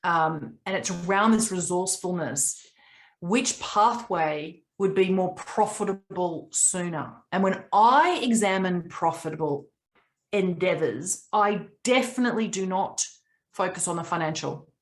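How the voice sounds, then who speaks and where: A woman in her late forties, raised in Papua New Guinea, coaching a group on a video call in an earnest, reflective way with long pauses. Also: on the low side.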